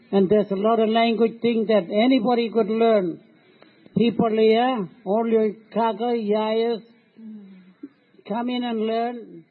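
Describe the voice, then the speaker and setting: Halting man with a high voice, phone-like sound. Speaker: language teacher. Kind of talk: interview. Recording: phone-like audio.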